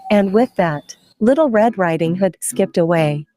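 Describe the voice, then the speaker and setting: A woman's voice: medium pitch, lively intonation, measured pace, mellow. A woman narrating an interactive children's story, playful and conversational, speaking directly to the kids.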